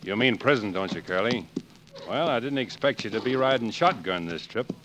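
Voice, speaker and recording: Casting: cool outlaw cowboy, lowish understated American voice, old radio western with audible noise. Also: male voice, expressive, normal speed, fairly bright tone. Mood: cool, resigned. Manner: laconic, understated. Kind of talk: 1940s radio acting. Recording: noisy old 1940s radio recording.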